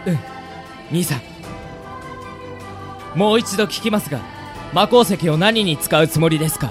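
A man's voice: high voice, normal speed, close to an everyday voice. A man playing a polite, earnest young mage and loyal younger brother in an amateur radio drama, courteous in his wording. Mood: resolute. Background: music.

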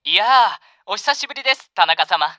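A man's voice: sits high, expressive, heavy background noise. A man voicing a sly, overly polite double who has taken over another man's life, in an amateur radio drama. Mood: cheery. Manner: smooth, overly polite, slowly menacing.

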